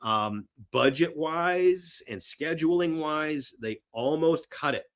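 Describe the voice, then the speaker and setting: A man as a podcast guest chatting casually and enthusiastically about films, telling stories in an animated, emphatic way. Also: a little high, takes it slowly. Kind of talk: enthusiastic casual film chat.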